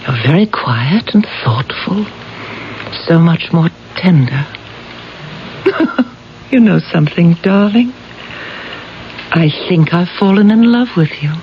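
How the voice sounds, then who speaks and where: A woman acting a tender, wistful woman in love in a 1970s radio drama, speaking softly and intimately. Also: on the low side, animated, slow pace, long pauses. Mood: tender, teasing. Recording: muffled old radio broadcast, faint noise.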